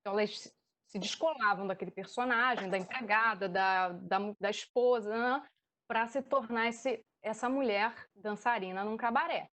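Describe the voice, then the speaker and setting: A woman's voice: on the high side, normal speed, a bit bright, slight background noise. A woman with a Brazilian accent, speaking as a theatre director and guest, explaining things off the cuff in an online debate.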